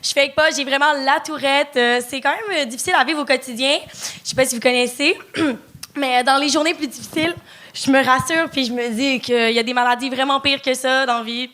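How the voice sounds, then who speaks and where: A woman with a Québécois accent doing deadpan, confessional stand-up. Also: high voice, brisk. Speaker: stand-up comedian. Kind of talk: stand-up comedy.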